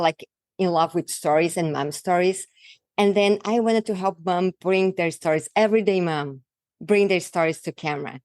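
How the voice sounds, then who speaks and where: A woman from Brazil with a thick Brazilian Portuguese accent and Portuguese as her first language, a guest in an interview, talking in a halting way and searching for words. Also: lowish voice, no room sound, clean studio sound. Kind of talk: casual interview talk.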